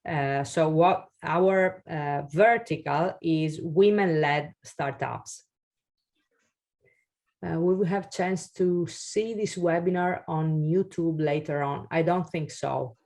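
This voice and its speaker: A woman's voice: deep voice, slow, a little mellow. A woman giving a webinar workshop for founders and answering questions, hesitant and full of fillers and restarts, speaking over a video call. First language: Italian. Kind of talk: webinar presentation and Q&A.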